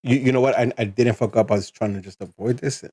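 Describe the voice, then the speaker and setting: A man's voice: medium pitch, steady tone, fast talker, warm tone, breathy edge. A man hosting a casual fan podcast, often stumbling and repeating words as he talks. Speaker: podcast host. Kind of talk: casual chat.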